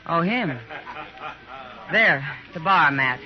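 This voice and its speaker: A woman's voice: lowish voice, lively intonation, slow. An easy, knowing saloon woman of the Old West in a radio drama. The sound is muffled and vintage, with faint music. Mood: friendly, knowing. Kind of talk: 1950s radio western acting.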